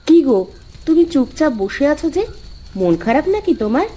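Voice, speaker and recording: Woman acting a high-voiced, practical village housewife. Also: sing-song, moderate pace, mellow. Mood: practical, chiding. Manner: chiding, matter-of-fact. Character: practical village housewife, caring but impatient with her husband. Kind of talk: Bengali cartoon dubbing.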